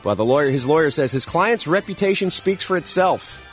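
A man's voice: fairly high pitch, lively intonation, a little fast, soft background music. A man co-hosting a morning radio show, reading entertainment news with sarcastic, mock-outraged banter. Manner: sarcastic, mock-outraged, breaks into silly singing.

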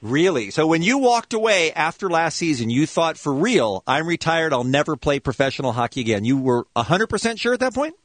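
A man's voice: on the high side, dry recording. A man co-hosting a morning radio show, bantering sarcastically and interviewing a guest. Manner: sarcastic, quick, animated.

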